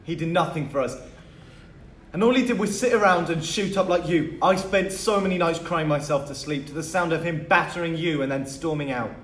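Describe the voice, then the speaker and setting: A man's voice: a little high, brisk, hardly any pauses, fairly bright tone, close to an everyday voice. A man playing a troubled, bitter teenage schoolboy in a script read-through. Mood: angry, hurt. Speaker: actor at a script table read. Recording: roomy echo with faint noise.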